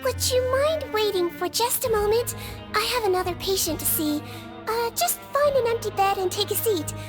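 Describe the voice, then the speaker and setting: A very high, sweet little nurse creature, soothing and patient with everyone she treats. Her voice swoops lightly up and down, soft and kindly, as game dialogue with music underneath. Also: lively intonation, normal speed. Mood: gentle. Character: gentle small girl-like nurse creature, caring. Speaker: head nurse. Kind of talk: game voice acting.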